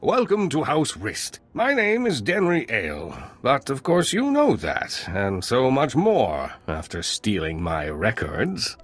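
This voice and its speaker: A man's voice: mid-range voice, wildly swooping pitch, slow, hardly any pauses, husky, full character voice. An actor playing a scornful, self-righteous villainous nobleman in a video game, theatrical and sardonic. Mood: smug, mocking. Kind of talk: dramatic video game acting. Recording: music behind the voice.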